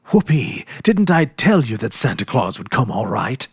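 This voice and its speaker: A boisterous young boy blurts his complaints and cheers loudly and impatiently in a storybook reading, as a man puts him on. The voice is husky, dry and close. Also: mid-range voice, sing-song, normal speed, dry recording, heavily acted.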